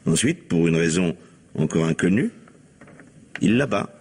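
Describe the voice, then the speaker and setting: A probing police commissioner from an old-style radio mystery. The voice is deep and husky, with very lively swings of intonation. He is brisk, insistent and pointedly courteous. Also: heavily acted. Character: shrewd, probing middle-aged male police commissioner. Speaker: actor playing a detective. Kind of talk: 1950s French radio mystery acting.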